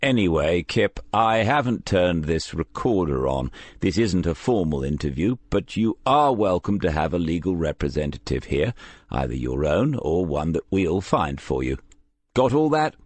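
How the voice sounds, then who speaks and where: A man voicing a friendly but quietly insistent English police detective in an audiobook, questioning in a measured, conversational way. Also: low voice, big pitch swings, no echo. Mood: calm, procedural.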